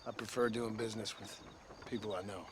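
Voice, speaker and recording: A man playing a hard-bitten gunslinger turned rancher in a western video game, with an American accent and a laconic, deadpan delivery. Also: fairly low pitch, a little fast, noisy background, natural voice. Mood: earnest, steady. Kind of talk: video game cutscene acting.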